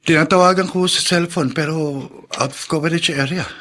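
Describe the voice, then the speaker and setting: Actor as an anxious young husband, high bright voice. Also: male voice, steady tone, normal speed, natural voice. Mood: worried. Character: anxious young husband and father, earnest and respectful. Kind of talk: Filipino radio drama acting.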